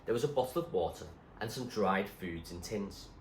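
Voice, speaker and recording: A man reading a story aloud as a teacher would, in expressive storybook narration. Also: on the low side, moderate pace. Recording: roomy echo, faint background noise.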